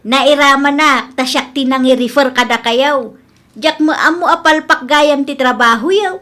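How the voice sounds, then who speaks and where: A woman's voice: sits high, a little slow. A woman with an Ilocano Filipino accent playing a blunt, reproachful village auntie in a comedy radio drama. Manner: sharp, reproachful.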